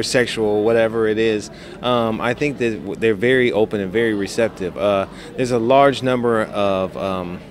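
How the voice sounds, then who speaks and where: A man in his late twenties from Ohio, speaking in a measured way with drawn-out hesitations. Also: lowish voice, a little hiss or hum. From Akron, Ohio. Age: 20s. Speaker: interviewee. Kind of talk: unscripted opinion talk.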